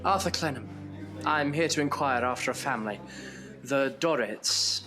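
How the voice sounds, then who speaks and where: A man playing a weary, earnest Victorian gentleman in an audio drama, formal and probing. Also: medium pitch, normal speed, music in the background, lightly acted. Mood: curious, polite.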